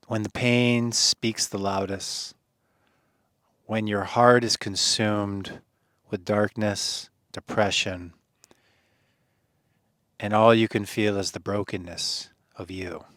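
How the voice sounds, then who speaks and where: Male meditation guide, soothing and earnest, very unhurried delivery, close dry sound. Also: on the low side, fairly bright tone, dry recording. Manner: soothing, earnest, deliberate phrasing with pauses. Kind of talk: guided meditation, gentle encouragement.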